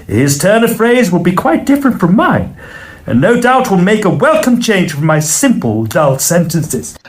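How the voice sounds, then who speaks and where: An actor playing a modest, polite young Victorian Oxford student with a British accent, narrating an audio play a little hesitantly. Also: male voice, sits high, sing-song, normal speed. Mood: hesitant, earnest. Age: young adult. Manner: hesitant, polite, chatty old-fashioned phrasing. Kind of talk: dramatised first-person audio play narration.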